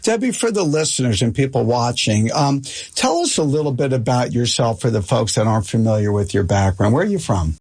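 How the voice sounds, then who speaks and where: A man's voice: mid-range voice, expressive, a little fast, a little mellow, slightly rough. An American man hosting a talk show, interviewing in a casual, effusive, complimentary way.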